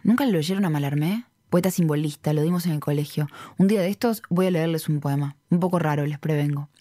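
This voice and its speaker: A curious Argentine woman journalist confides chattily in a podcast drama. Her voice is on the low, mellow side, recorded very dry with an Argentine accent. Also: quick pace, no room sound. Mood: chatty, confiding. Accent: Rioplatense, Argentine. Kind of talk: acted fiction podcast monologue.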